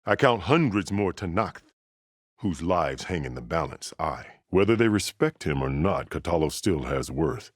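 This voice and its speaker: A battle-scarred older chief of a warrior tribe for a video game. His voice sits extremely low and is recorded dry, without room sound. He speaks gravely and formally, with the stern authority of a ruler used to being obeyed. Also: sing-song, normal speed, no room sound. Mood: commanding. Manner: grave, formal, commanding oratory. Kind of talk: video game character dialogue.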